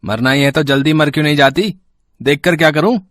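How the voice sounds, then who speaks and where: A man's voice: a little high, fairly quick, fairly bright tone, dry recording, clean studio sound, close to an everyday voice. A man playing a sullen, selfish young village husband in an audio story, grumbling and sulky. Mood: irritated. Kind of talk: acted Hindi audio story dialogue.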